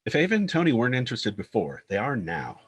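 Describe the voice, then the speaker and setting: A man's voice: medium pitch, wildly swooping pitch, fast talker, breathy edge. A man reading screenplay stage directions as narrator, in clipped, descriptive scripted phrases.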